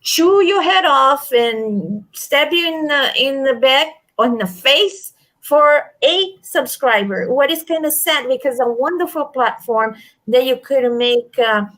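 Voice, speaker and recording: A woman's voice: sits high, animated, slow, fairly bright tone, low-quality recording. A woman with an accent she says comes from a stroke, chatting on a livestream in an emphatic way, repeating herself and restarting her sentences. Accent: non-native, from a stroke. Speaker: livestream guest. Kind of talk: casual chat.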